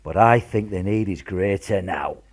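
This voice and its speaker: Man acting husky, genial British grandfather. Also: deep voice, moderate pace. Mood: playful. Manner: jovial, hesitant, coaxing. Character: genial, cheeky older grandfather in wartime Britain. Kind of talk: radio play acting.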